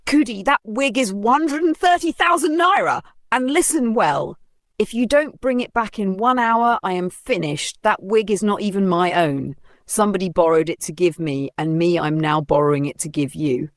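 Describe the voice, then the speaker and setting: A woman's voice: mid-range voice, normal speed, no room sound, voice pushed up, close to an everyday voice. An exasperated female makeup-studio owner from a comic tale, played by a woman narrator. She scolds and warns in a raised, emphatic voice. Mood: alarmed warning.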